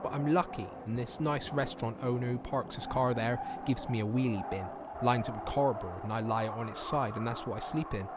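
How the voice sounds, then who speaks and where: A man's voice: medium pitch, brisk, few pauses, lightly acted. An actor playing a bitter, plain-talking young homeless man in a naturalistic audio drama monologue. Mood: bitter, resigned. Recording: clear outdoor traffic and street noise.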